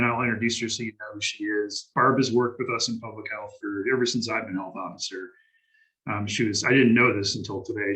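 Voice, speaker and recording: A man with a lowish, bright and forceful voice introduces panelists. He speaks haltingly, restarting phrases and filling pauses as he goes. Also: rough recording. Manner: halting, with many restarts and fillers. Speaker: health officer and moderator. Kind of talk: panel moderation.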